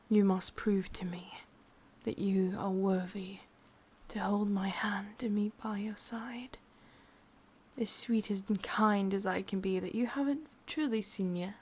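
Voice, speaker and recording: A woman playing a sadistic, possessive witch with an English accent in a whispered ASMR roleplay, breathy, teasing and menacingly sweet. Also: medium pitch, darkish tone.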